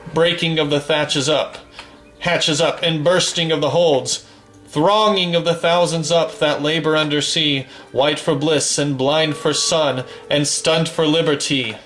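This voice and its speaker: Slow, declaiming man reciting poetry. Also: on the high side, a bit bright. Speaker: poetry reader and gamer. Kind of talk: poem recited from memory over gameplay.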